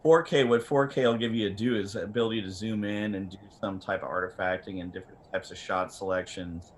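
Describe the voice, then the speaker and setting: A man explaining technical matters informally as a panel expert, haltingly and repeating words, on a video call with some noise. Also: fairly low pitch, steady tone.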